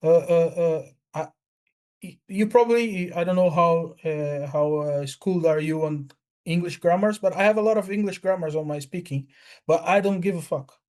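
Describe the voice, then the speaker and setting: A man with a notably high voice and an Italian accent, chatting casually as a native speaker of Italian and Portuguese. He talks in a halting, repetitive way and is blunt about it. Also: darkish tone. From Brazil. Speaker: language learner. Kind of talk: casual chat.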